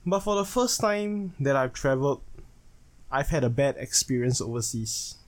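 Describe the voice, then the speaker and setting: A Singaporean man in his twenties delivers a solo podcast monologue as host, in measured, phrase-by-phrase fashion. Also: medium pitch, lively intonation. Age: 20s.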